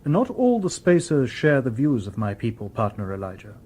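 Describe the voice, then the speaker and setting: An actor playing a calm, courteous humanoid robot detective in a radio drama, with formal, precise phrasing. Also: male voice, medium pitch, moderate pace.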